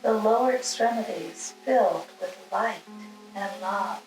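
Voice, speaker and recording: A woman leading a guided meditation, giving soothing, gentle instructions in short, spaced phrases. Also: mid-range voice, unhurried. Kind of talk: guided meditation, spoken slowly with long pauses. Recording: roomy, distant mic, music underneath.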